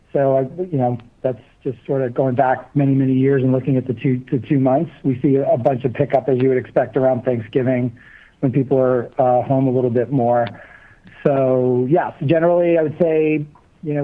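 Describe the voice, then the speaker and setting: A man answering investor questions as a finance executive, in a halting, conversational way on an earnings call. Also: mid-range voice, flat delivery. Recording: thin, phone-like call audio.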